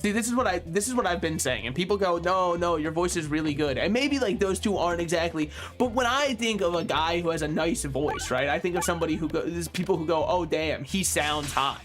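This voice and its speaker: A man's voice: a little high, animated, a little fast, hardly any pauses, fairly bright tone, faint music behind. A young man from the United States streaming a video game, rambling and joking at his own expense as he plays. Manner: joking, rambling, self-mocking. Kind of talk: casual gameplay commentary.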